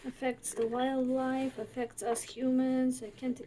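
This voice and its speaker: A young woman talks through a cooking tutorial at an unhurried pace, her voice a little raspy from smoke in the air. She is chatty and fills her sentences with fillers. Her pitch sits fairly high, with a faint hiss of noise under the recording. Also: flat delivery, dark tone, poor audio. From northern United States. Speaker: cooking video host.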